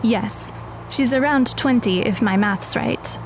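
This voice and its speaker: A composed, formal teenage girl in an audio drama, played by a woman. Her voice sits a little high. She is guarded and exact in her wording, with faint noise behind her. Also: slow pace, poor audio. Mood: matter-of-fact. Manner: matter-of-fact, precise.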